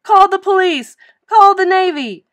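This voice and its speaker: A woman's voice: extremely high pitch, expressive, unhurried, a bit bright, pitched above the performer's own voice, exaggerated voice. A woman voicing a blustering male school swim coach who bellows and barks commands in a children's storybook. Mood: panicked.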